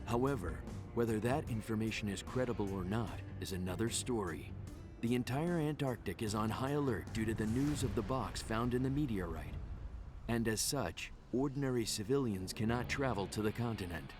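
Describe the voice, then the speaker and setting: A man's voice: fairly low pitch, lively intonation, normal speed, over music, close to an everyday voice. A man reading scripted story narration for a video game.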